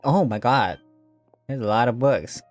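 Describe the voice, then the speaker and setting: A woman commenting on a game cutscene with quick reactions and laughing asides. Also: rumbling low, a little mellow, no echo, soft background music. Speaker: gameplay commentator.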